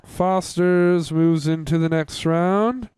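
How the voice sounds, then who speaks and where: Young man, clear higher-pitched voice, chatting casually. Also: steady tone, slow, a little mellow, no echo. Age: young adult. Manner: informal, candid, profane. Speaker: podcast co-host. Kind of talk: casual review chat.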